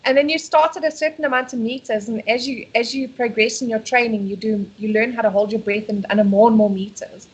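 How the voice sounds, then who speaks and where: A woman's voice: a little high, fairly even intonation, brisk, few pauses, breathy edge, poor audio. A South African woman co-hosting a casual live stream, chatty and laughing often as she reads out viewer comments. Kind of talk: casual chat.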